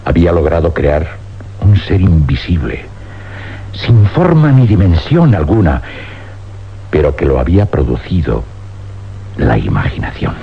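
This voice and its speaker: Deep, grave, weary older scientist. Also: male voice, very animated, creaky, husky, full character voice. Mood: grave, haunted. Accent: Spanish, from Spain. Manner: grave, deliberate, dramatic pauses. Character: weary older scientist confessing an uncanny experiment. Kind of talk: suspense radio drama acting. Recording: dull, noisy old radio recording with hum.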